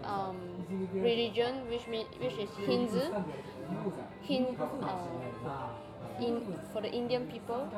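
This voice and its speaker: A woman with a Malaysian Chinese accent, her first language Chinese, speaking halting English in a casual interview and searching for words. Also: fairly high pitch, lively intonation. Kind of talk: casual conversation. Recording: loud music behind the talk.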